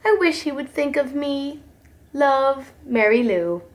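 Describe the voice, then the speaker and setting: Lady doing a dreamy lovestruck girl slug poet from a storybook, high voice, slow singsong rhymes. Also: animated. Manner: dreamy, singsong rhyming verse. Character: adoring female slug poet, dreamy and sweet-natured.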